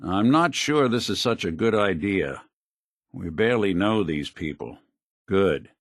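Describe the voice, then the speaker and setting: A male actor voicing a world-weary, principled android private detective in a video game, with a gravelly, wry, plainspoken noir delivery. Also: low-pitched, animated, measured pace, no room sound, studio quality, heavily acted. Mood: measured, wry. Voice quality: gravelly, husky.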